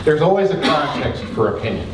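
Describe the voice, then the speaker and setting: Fast-talking man with a big voice in an echoey hall. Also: medium pitch, recorded from a distance, noisy background. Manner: fast-talking, joking, energetic. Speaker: conference presenter. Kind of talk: live conference talk. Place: conference hall with audience.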